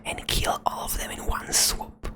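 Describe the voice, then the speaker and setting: A woman whispering a fantasy adventure to the listener in the second person, close to the microphone, as ASMR narration. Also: a little slow. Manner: soft whisper throughout, second-person telling. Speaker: storyteller.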